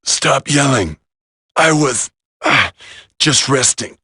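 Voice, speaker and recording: A man voicing a gruff, wounded veteran soldier in a video game, strained and husky but stoic. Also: mid-range voice, animated, takes it slowly, sharp tone, no echo, clean studio sound, exaggerated voice. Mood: weary resolve. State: weak, strained from injury.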